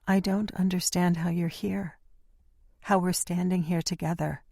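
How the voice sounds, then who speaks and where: A man's voice: sits high, flat delivery, measured pace, gravelly, a little mellow, no echo, clean studio sound, voice pushed up, heavily acted. A man voicing a frightened, confused young woman in an audiobook, speaking anxiously in a raised voice. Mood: fearful. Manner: anxious, questioning.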